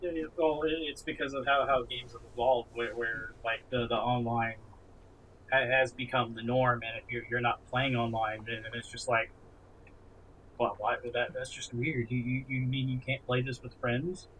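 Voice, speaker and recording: A man co-hosting a podcast casually, halting as he goes and often repeating words in a stammer. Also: mid-range voice, flat delivery, fairly bright tone, slight background noise, poor audio. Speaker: podcast co-host. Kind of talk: casual conversation.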